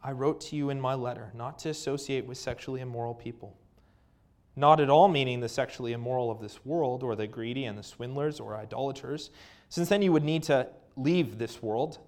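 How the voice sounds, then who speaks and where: Man, Canadian accent, preacher, earnest, halting with fillers, mid-pitched voice. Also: darkish tone. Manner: earnest, with frequent fillers and pauses. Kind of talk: sermon with scripture reading and prayer.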